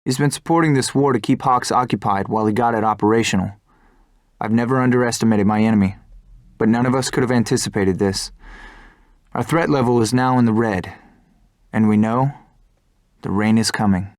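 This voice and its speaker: A man's voice: fairly low pitch, brisk, dry recording, clean studio sound, close to an everyday voice. An actor playing a determined, stoic young fighter pilot who leads his squad in a video game. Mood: resolute. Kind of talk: dramatic video game cutscene acting.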